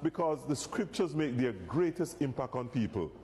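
A Jamaican man, native in Patois, explaining things in an interview. His pitch is middling and the hall gives long reverb, with faint noise behind him. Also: big pitch swings, normal speed, darkish tone. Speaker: Bible society reverend. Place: large indoor hall. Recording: very echoey hall with some noise.

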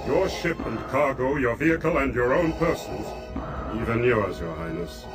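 A male actor playing a menacing, imperious masked dark lord in a radio drama, speaking in measured threats. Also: mid-range voice, animated, close to an everyday voice. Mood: commanding. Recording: dramatic music behind the voice.

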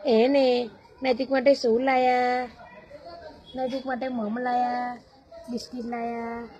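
A woman's voice: a little high, level tone, slow, warm tone. A woman with a Gujarati accent talking playfully to a baby, repeating phrases and chanting nursery rhymes in a sing-song way. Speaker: caregiver playing with a baby. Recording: dull, lo-fi home recording.

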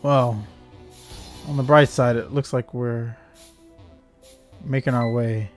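A man's voice: medium pitch, steady tone, slow pace. A man doing casual, laid-back gameplay commentary as the host of a gaming video, with mumbled asides. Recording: game music behind. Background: video game music.

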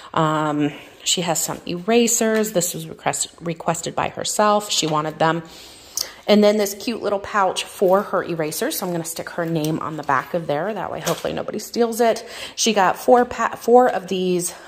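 A woman's voice: on the low side, slight background noise. A woman in her thirties, a mom and vlogger, chatting casually at her kitchen counter with lots of fillers. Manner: chatty, rambling, filler-heavy. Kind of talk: casual show-and-tell vlog. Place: home kitchen. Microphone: phone camera held over a countertop.

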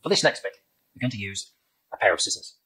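British man, middle-aged, husky, animated intonation, craft presenter, gentle and encouraging, simple step-by-step instructions. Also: lowish voice, fast, rough recording. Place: indoor room with timber-framed walls, at a table.

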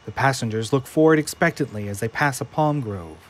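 A man's voice: medium pitch, a little fast. A man reading the scene directions of an audio drama as narrator.

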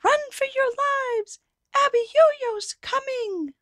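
A woman voicing frightened townspeople, yelling high and frantic warnings. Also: extremely slow, no room sound, heavily acted. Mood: panicked. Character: panicked shouting townsfolk fleeing a giant.

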